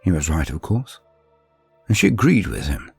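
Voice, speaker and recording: Man as earnest psychic apprentice, deep husky animated storytelling. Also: no echo, studio quality, soft background music, exaggerated voice. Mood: wistful. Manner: dramatic, measured first-person recollection. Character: young male psychic apprentice, scarred, earnest, reflective. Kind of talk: scripted audio story narration.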